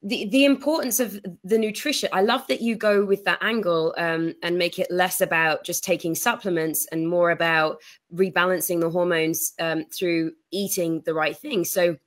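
A woman's voice: on the low side, steady tone, normal speed. A woman hosting an interview over a video call, responding attentively to her guest. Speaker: interview host. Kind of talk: casual interview. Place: room with plain yellow wall.

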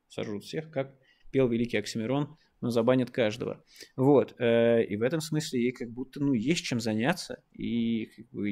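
A man's voice: mid-range voice, gravelly, darkish tone. A man co-hosting a live stream, talking in a rambling, ironic way with many fillers. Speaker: stream co-host. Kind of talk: casual political chat.

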